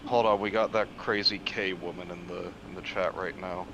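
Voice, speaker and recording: A man's voice: low-pitched, fairly even intonation, normal speed, a loud crowd. A man chatting casually as a guest on a livestream. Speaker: stream guest. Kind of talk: casual chat. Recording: dull lo-fi mic, clear background noise.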